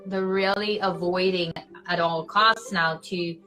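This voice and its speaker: Bright-voiced Swiss actress, chatty. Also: female voice, lowish voice, unhurried, soft background music, rough recording. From Switzerland. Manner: animated, fillers like "like" and "you know". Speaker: actress, guest. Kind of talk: casual interview chat.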